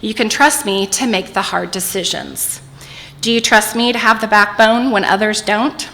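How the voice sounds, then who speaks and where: A woman's voice: mid-range voice, normal speed, gravelly, pushed and bright, in a large hall. A woman in her forties from Indiana, speaking as a mayoral candidate, personal and emphatic. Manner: personal, emphatic, repeating for effect. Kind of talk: opening statement at a public forum.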